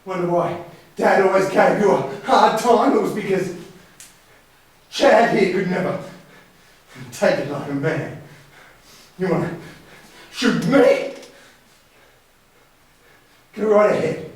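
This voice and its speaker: A man's voice: high voice, slow pace, long pauses. A man acting in a stage play as a wounded, defiant grown son standing up to his bullying brother. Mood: defiant, taunting. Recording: very echoey hall, distant mic.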